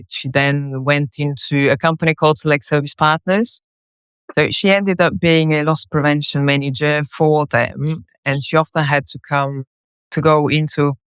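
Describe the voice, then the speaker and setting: A woman with a Bulgarian accent speaks as an interview guest, telling her story conversationally. Her voice is smooth and clear, recorded very dry. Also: sits low, no echo. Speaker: podcast guest, company co-founder.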